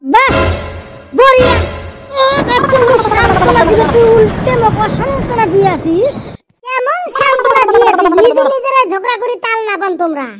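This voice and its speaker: An extremely high, squeaky cartoon village lad with an artificially raised voice. He is cheeky and argumentative, bantering over loud music. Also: normal speed, over a noisy crowd. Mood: bickering. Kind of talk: comic cartoon dialogue.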